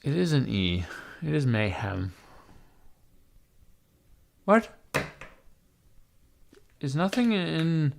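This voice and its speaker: A raspy-voiced man livestreaming from his computer, rambling casually to his chat, swearing and talking to himself as he types. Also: a little high, very animated, drawn-out words, long pauses. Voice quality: raspy, gravelly. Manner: rambling, swearing, talks to chat while typing.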